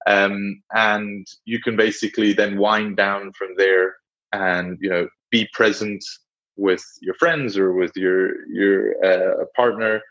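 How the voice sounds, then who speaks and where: A man's voice: fairly low pitch, little pitch movement, slow, fairly bright tone, highs cut off. A half-Swiss, half-British man with a Swiss-British accent, speaking as an interview guest in a thoughtful way, with many fillers. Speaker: guest, engineering director. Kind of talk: reflective interview talk.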